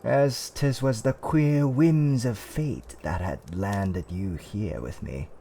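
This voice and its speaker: Man playing a slow, gentle fantasy innkeeper. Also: on the low side, creaky, a little hiss or hum. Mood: welcoming, musing. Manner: soothing, theatrical, old-fashioned polite phrasing with hums and pauses. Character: gentle, courteous male innkeeper of a fantasy tavern. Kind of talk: roleplay ASMR character acting.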